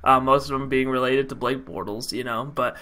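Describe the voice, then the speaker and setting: A young American man from Idaho telling a story casually to camera, talking in an animated, slangy, emphatic way. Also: mid-range voice, a little fast. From Idaho, United States. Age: young adult. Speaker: YouTube vlogger. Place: small bedroom, indoors.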